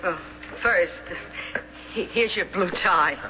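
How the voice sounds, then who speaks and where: A woman's voice: fairly low pitch, slow pace, pushed and bright. A woman playing a blunt, wisecracking working-class landlady in a radio drama, colloquial and wry. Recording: slightly noisy, dull old radio broadcast.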